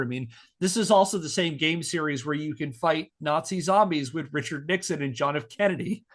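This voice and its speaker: A man hosting a podcast, telling a researched story to friends in a partly scripted, measured way. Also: a little high. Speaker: podcast host.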